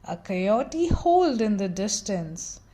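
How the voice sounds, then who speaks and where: A woman reading a children's picture book aloud in an expressive, dramatic storytelling style with theatrical emphasis. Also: medium pitch, takes it slowly.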